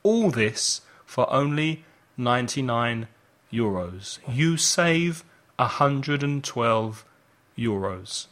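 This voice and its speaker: A man hosts a language lesson at a markedly slow pace. His delivery is didactic and deliberately enunciated, with each phrase set out for learners to repeat. His voice sits at a medium pitch for a man. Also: a bit bright. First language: English. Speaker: English teacher and podcast host.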